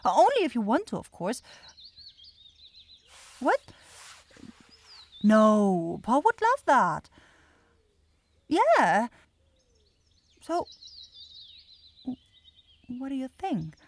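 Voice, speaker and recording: A woman's voice: high-pitched, wildly swooping pitch, drawn-out words, long pauses, full character voice. A woman voicing a sweet, clumsy forest witch in a fantasy ASMR roleplay, stammering and hesitant as she talks. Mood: nervous, hopeful. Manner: stammering, hesitant, breaks off and repeats words.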